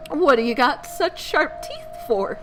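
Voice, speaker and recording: A woman's voice: sits high, big pitch swings, takes it slowly, slight background noise, pitched above the performer's own voice, exaggerated voice. A woman voicing a frightened, naive young boy in a scary storybook tale. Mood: scared, curious.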